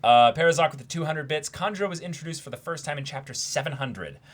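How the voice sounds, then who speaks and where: A man co-hosting a casual livestream, reading out viewer messages and thinking aloud in an animated, sometimes halting way. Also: a little high. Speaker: livestream co-host.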